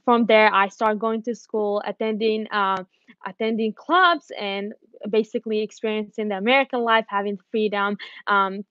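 A woman's voice: mid-range voice, a bit bright, no room sound. A young woman from northern Iraq, a student activist, telling her story earnestly on a video call with frequent ums and restarts. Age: young adult. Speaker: guest speaker, education activist. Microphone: video call webcam. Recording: dull dry webcam sound.

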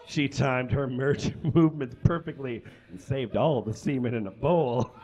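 A man's voice: medium pitch, measured pace, darkish tone, poor audio. A young man reading a story aloud on a small stage in a theatrical, mock-serious comic style with ad-libbed asides, picked up by a camera a few metres away. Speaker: live comedy reader. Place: small indoor stage with curtains.

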